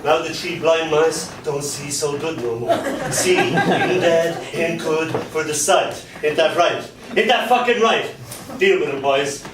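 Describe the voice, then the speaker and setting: A man performing dark comic poetry and stories live, with a theatrical delivery and dramatic pauses. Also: a little high, lively intonation. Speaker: spoken word poet. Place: spoken word venue in a London pub. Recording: echoey live venue, distant mic, background noise.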